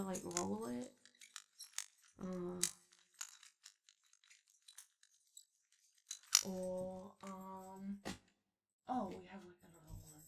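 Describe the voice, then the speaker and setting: A woman's voice: fairly low pitch, creaky, noisy background. A woman playing a shy, chatty animatronic daycare attendant in a roleplay ASMR, rambling tentatively and trailing off. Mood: hesitant, helpful. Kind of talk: roleplay ASMR, improvised-sounding casual chat.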